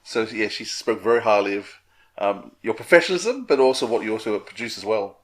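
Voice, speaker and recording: A man with a fairly low voice hosts a podcast interview. He talks casually and conversationally to his guest. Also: lively intonation, brisk.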